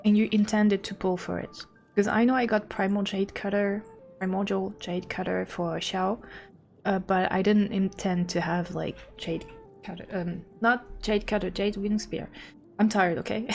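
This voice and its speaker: Girl streamer chatting casually, slow n creaky, thinking out loud, rambly. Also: medium pitch, steady tone, faint music behind. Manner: rambling, thinking aloud, self-deprecating. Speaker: game streamer. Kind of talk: casual livestream chat and commentary.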